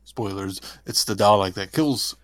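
Lower-voiced man, dry podcast sound. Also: a little slow, fairly bright tone, dry recording. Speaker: podcast co-host. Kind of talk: casual review discussion.

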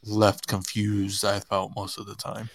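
A man giving measured, explanatory opinions as a podcast panelist in a casual discussion. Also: lowish voice, monotone, no room sound, studio quality. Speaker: podcast panelist reviewing fan productions.

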